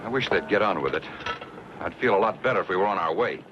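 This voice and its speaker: A man's voice: sits low, expressive, quick pace. An actor playing a hard, practical, impatient wagon-train man with an American accent, speaking bluntly in an old Western. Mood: impatient, grim. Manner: blunt, pragmatic. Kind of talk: Western film acting. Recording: muffled, noisy old film soundtrack.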